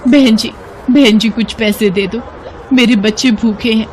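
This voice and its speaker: A woman's voice: on the high side, steady tone, measured pace, slight background noise, lightly acted. A woman playing a poor, honest blind beggar mother in a moral story, plaintive and earnest. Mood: pleading. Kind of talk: dramatised moral story acting.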